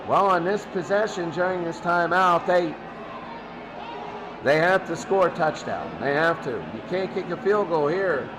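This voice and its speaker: A man's voice: on the high side, animated, measured pace, poor audio. A man calling American football play-by-play in short clipped phrases, repeating himself for emphasis. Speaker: play-by-play announcer.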